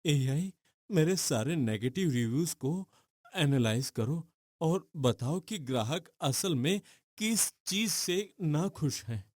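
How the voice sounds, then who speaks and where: A man voicing an earnest, emotional young man from a poor family in a cartoon story, with a husky voice. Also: fairly high pitch, lively intonation, slow pace. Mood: determined. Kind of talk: dramatic cartoon story dialogue.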